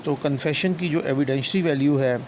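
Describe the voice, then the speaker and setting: A man with a Pakistani accent giving an explanatory law lecture, deliberate and instructional, mixing in English legal terms. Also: fairly high pitch, low-quality recording. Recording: clear steady background noise, hum.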